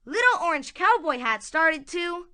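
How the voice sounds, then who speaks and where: High, bright woman storyteller. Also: big pitch swings, moderate pace, a little hiss or hum. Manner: dramatic storytelling. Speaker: narrator. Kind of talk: scripted children's story narration.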